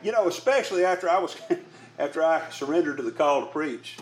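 Man, folksy preacher, conversational sermon, lightly raised pitch, repeated phrases, roomy echo. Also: expressive, brisk, slight background noise. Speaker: Primitive Baptist preacher and pastor. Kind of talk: sermon to a congregation. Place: indoors, church meeting room. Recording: roomy, echoing meeting-hall sound.

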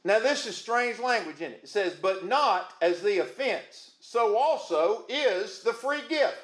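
A man preaching a sermon in a booming voice, folksy and calling out to the congregation. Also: animated, measured pace, a bit bright, reverberant, poor audio. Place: church.

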